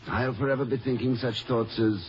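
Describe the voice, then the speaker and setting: An actor playing an absent-minded, romantic professor, stammering and refined, in 1950s radio style. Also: male voice, mid-range voice, steady tone, brisk, close to an everyday voice. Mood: lovestruck, hesitant. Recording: muffled old radio broadcast with music.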